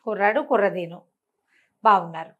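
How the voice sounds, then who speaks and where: A woman with a Telugu accent telling a folk story in a colloquial, animated way, acting out the dialogue. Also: mid-range voice, brisk, muffled recording.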